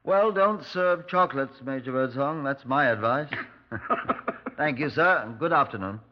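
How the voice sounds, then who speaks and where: An actor playing a measured, courteous middle-aged British Scotland Yard superintendent, narrating and questioning in a 1950s radio drama. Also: male voice, medium pitch, lightly acted. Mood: cordial warning. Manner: measured, polite, probing. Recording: muffled 1950s radio recording.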